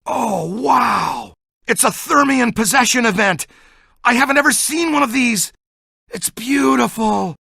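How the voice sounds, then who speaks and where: Bright-voiced, geeky paranormal investigator. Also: male voice, extremely high pitch, lively intonation, a little slow, gravelly, breathy edge, dry recording, studio quality, full character voice. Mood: excited, awed. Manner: earnest, technical jargon, swings into boyish enthusiasm. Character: excitable, geeky male paranormal investigator and scientist. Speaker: video game character voice. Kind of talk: scripted game dialogue.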